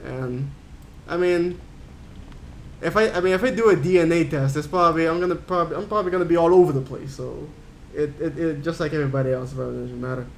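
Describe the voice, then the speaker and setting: A man's voice: fairly high pitch, fast, slight background noise, low-quality recording. A man from Curaçao streaming a game, chatting casually and answering his chat in a rambling way. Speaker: gaming streamer.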